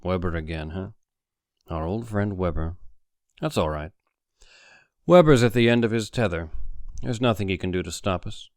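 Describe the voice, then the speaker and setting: A man voicing a calm, smooth-talking conspiracy leader in an audiobook story, in a measured murmur. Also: low-pitched, no room sound, clean studio sound. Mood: calm confidence.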